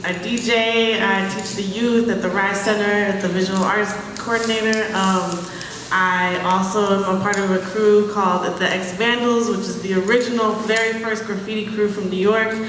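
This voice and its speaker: A woman's voice: medium pitch, noisy background. Woman DJ panelist, casual, far-off echoey sound. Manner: easygoing, listing roles. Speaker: DJ and youth arts teacher. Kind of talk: panel self-introduction.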